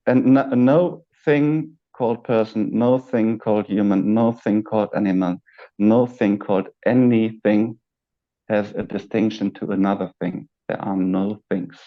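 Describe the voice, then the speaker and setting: Man guest, low voice, halting and searching, dull muffled call sound. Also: fairly even intonation. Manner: halting, searching for words, with frequent fillers and restarts. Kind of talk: reflective spiritual conversation. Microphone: video call.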